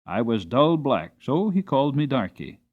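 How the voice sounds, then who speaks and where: Expressive male storybook narrator, lively pitch. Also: narrow, tinny audio. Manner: deliberate, expressive phrasing. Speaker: audiobook narrator.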